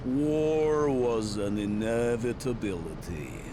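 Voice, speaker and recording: A man's voice: mid-range voice, animated, takes it slowly, noisy background. A man playing a pompous, megalomaniac tyrant in a video game, with a grandiose, sneering, theatrical manner. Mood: smug, scornful. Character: pompous, megalomaniac male tyrant villain bent on conquest. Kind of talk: video game cutscene voice acting. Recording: loud orchestral music behind. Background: dramatic game music.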